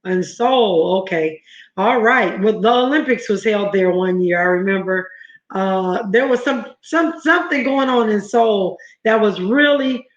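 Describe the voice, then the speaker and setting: A woman's voice: mid-range voice, unhurried. A woman hosting an interview show, chatty and enthusiastic, wandering into rambling asides. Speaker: host. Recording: echoey room, dull lo-fi audio.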